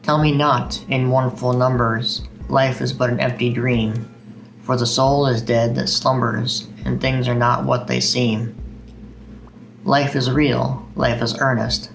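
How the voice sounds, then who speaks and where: A man's voice: mid-range voice, gravelly, slight background noise, poor audio. A man hosting a video of poetry readings. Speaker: video host reading poems.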